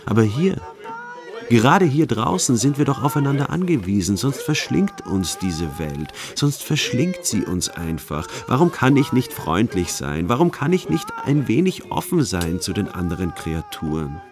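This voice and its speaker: A man's voice: medium pitch, big pitch swings, moderate pace. An actor playing a brooding, self-reproaching man in an introspective radio drama monologue. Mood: brooding, anguished. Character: brooding, self-reproaching male first-person narrator. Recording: music clearly behind the voice.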